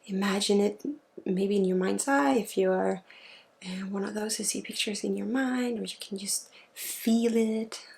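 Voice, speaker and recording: Slow, creaky Swedish woman doing healing ASMR. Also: medium pitch, darkish tone, slight background noise. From Sweden. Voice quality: breathy, often dropping into a whisper. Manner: unhurried, halting, with pauses mid-sentence. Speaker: spiritual healer and ASMR host. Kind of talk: spiritual ASMR, guided energy healing.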